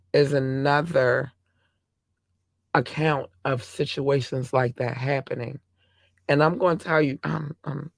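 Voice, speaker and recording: A man hosting a podcast who is hoarse and losing his voice, talking through the news in an impassioned, rambling way with many restarts. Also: mid-range voice, dry recording. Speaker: podcast host. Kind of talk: casual talk-show commentary.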